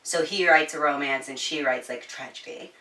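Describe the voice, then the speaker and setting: A woman's voice: deep voice, moderate pace, bright, forceful tone, distant mic. A woman hosting a casual, chatty book review, informal and giggly, with some swearing. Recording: roomy echo, slightly off-mic.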